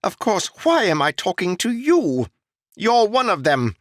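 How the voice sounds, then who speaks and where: A man's voice: sits high, huge pitch swings, unhurried, fairly bright tone, dry recording, clean studio sound, pitched above the performer's own voice, heavily acted. A man voicing a haughty exiled noble who speaks with a strange accent and scorns the commoners around him. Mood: annoyed. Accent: strange foreign-sounding lilt. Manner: sneering, snapping, complaining.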